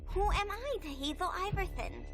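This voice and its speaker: High-pitched, childlike little vampire girl. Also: animated, normal speed. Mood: playful. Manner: childlike, sing-song. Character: playful, pleading young girl vampire, daughter. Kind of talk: video game cutscene acting. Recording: loud music under the voice.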